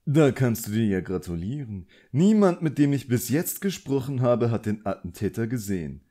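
A man's voice: medium pitch, sing-song, normal speed, warm tone, pitched above the performer's own voice. A man voicing an elegant, condescending villain sorcerer in an audiobook, suave and politely commanding. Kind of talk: audiobook character acting.

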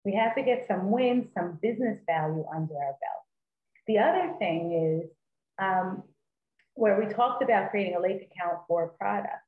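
A woman's voice: mid-range voice, normal speed. A woman in her forties explaining a work approach on a video call, earnest and given to repeating points for emphasis. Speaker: data leader panelist. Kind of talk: conference panel talk on video call. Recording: tinny, echoey video call audio.

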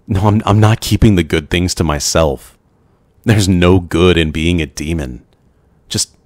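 A man playing a brooding, lonely, tender vampire in an ASMR roleplay, speaking hesitantly and gently with old-fashioned courtly phrasing. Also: low voice, dry recording.

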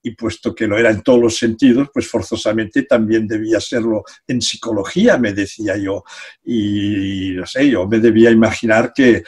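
A man's voice: fairly low pitch, moderate pace, darkish tone, husky. An older man from Catalonia speaking Spanish with a Catalan accent on a video call from his home study, talking casually and hesitantly, often repeating words and laughing between phrases. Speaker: guest, psychology professor. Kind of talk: casual conversational interview. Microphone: video call webcam.

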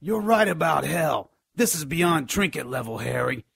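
Snide little imp crook, raspy creaky voice, kinda high, radio drama. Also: male voice, moderate pace, no echo, clean studio sound, heavily acted. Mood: grave warning. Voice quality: gravelly, raspy. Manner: snide, resentful, excitable. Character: scrawny, smelly male imp fence, spiteful and cowardly. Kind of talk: radio drama character acting.

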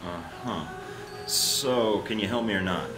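An actor playing a cocky young secret agent in a video game, terse and dry. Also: male voice, fairly low pitch, a little slow, music in the background, close to an everyday voice. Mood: irritated, threatening. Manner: dry, curt, understated. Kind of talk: video game cutscene acting.

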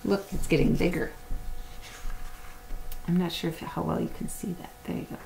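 A middle-aged woman reading a picture book aloud to young children in a simple, deliberate storytelling way. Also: on the low side, level tone, creaky, warm tone, slight background noise, poor audio. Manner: simple, deliberate storytelling with acted animal sounds. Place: small indoor room at home or classroom.

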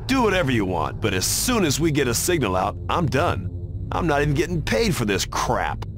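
A man voicing a gruff, sarcastic, reluctant action hero in a video game cutscene. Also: medium pitch, big pitch swings, normal speed, background noise, natural voice. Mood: sardonic, irritated. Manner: dry sarcasm. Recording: music behind the voice.

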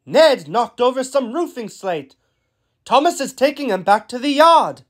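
A man puts on a small, friendly cartoon digger. The voice is high and lively, upbeat and good-natured, with unhurried phrasing. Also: exaggerated voice. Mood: cheerful. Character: cheerful, friendly male little excavator. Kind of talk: cartoon character voice reel.

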